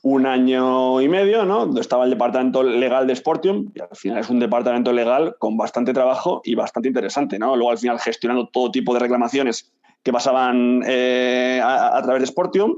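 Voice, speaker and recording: A man in his thirties from Madrid, a guest telling his career story in a relaxed, chatty way, often tagging sentences with a question. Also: medium pitch, brisk, highs cut off. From Madrid, Spain. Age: 30s. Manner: relaxed, chatty, tags lines with "¿no?". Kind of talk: casual interview talk.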